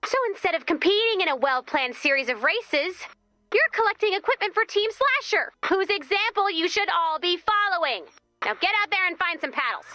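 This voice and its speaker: An actress playing a peppy, bossy, easily exasperated woman who runs a summer camp, in an animated video game style. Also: very high voice, moderate pace, no room sound, faint music behind, full character voice. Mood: stern, bossy.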